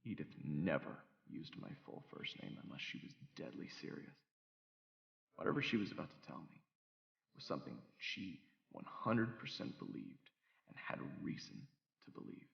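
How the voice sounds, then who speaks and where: Husky, animated young fantasy hero played by a man. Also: very deep voice, normal speed, pauses often, muffled recording, full character voice. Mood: grave narration. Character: earnest, flustered young man, fantasy adventure hero and narrator. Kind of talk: audio roleplay acting with first-person narration.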